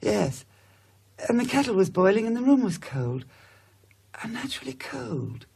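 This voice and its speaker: An actress playing a caring British woman who tends a shaken patient in a radio drama, brisk and matter-of-fact. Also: a little high, very animated, creaky, mellow, slightly rough, full character voice. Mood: serious, confiding.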